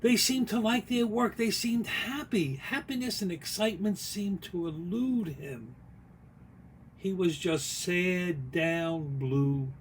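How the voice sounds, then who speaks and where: A man reading their own picture book aloud in an expressive, folksy way, with chatty asides along the way. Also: high-pitched, a little hiss or hum, poor audio. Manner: folksy, expressive, pausing to comment on the story.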